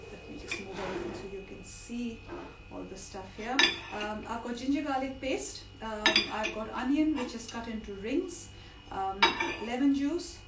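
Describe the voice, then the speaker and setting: A middle-aged woman from India with a partly lost voice, chattily leading an informal live cooking demonstration with frequent asides. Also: a little high. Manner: chatty, digressive instruction with asides. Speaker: cook hosting a live cooking class. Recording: roomy, distant mic with background noise.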